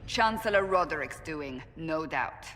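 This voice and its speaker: Low-voiced stern warrior woman. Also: animated, unhurried, fairly bright tone, big-room sound, soft background music. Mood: firm, grave. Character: stern, resolute female warrior officer, blunt and formal. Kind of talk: video game voice acting.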